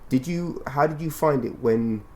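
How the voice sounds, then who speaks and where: A man hosting a podcast interview in a measured, instructive way, rephrasing his points and prompting his guest as he talks. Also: medium pitch, warm tone, slight background noise. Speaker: radio show and podcast host. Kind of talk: conversational interview, explaining and prompting.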